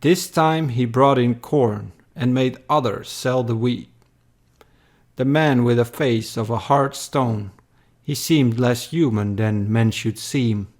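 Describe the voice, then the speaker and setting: A man narrating a scripted dramatic poem in a measured, solemn manner. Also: medium pitch, a little mellow.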